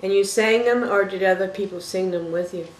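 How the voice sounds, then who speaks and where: A woman's voice: medium pitch, brisk. An older woman from Toronto hosting a casual interview, folksy and fond of pet names. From Toronto, Canada. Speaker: talk show host, interviewer. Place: small living room, indoors. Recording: roomy, echoing living-room sound.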